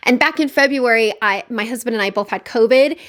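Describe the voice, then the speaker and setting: A woman's voice: a little high, a little fast, fairly bright tone. A woman in her thirties with a nasal, congested voice from a cold, chatting through a makeup review. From Utah, USA. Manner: chatty, friendly, detailed. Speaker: beauty vlogger. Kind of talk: makeup review talk to camera.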